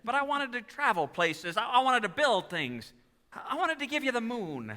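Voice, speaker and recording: A man's voice: very high voice, very animated, moderate pace, sharp tone, heavily acted. An actor playing an earnest, flustered small-town family man in a 1940s-style radio play, stammering and repeating himself. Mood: anguished, apologetic. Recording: live stage taping in echoey hall.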